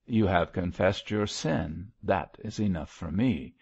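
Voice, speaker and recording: A man's voice: low-pitched, lively intonation, measured pace, vocal fry, a little mellow, breathy edge, highs cut off, exaggerated voice. A man voicing a stern, pious old missionary in an audiobook, solemn and exhorting. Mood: fervent pleading. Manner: solemn, exhorting, declamatory prayer. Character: wary old male zealot missionary, stern, fervently pious. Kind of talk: audiobook character voice.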